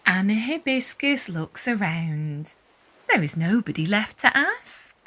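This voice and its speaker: Casting: female children's story reader, high and very lively, unhurried, emphatic delivery. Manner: deliberate emphasis, animated storytelling. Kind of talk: expressive read-aloud narration for young children.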